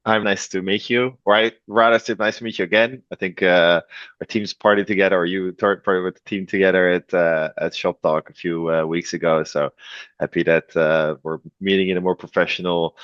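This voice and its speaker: A man from the Netherlands with a Dutch accent, talking as a podcast guest, relaxed with many fillers and restarts. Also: deep voice, fairly quick, dry recording, dull audio. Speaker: guest, revenue executive. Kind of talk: podcast interview.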